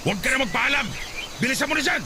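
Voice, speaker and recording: A man's voice: extremely high pitch, animated, racing, very noisy. An actor with a Filipino accent playing an abusive, domineering father of sixty in a Tagalog radio drama, scolding and insulting. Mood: angry, scolding.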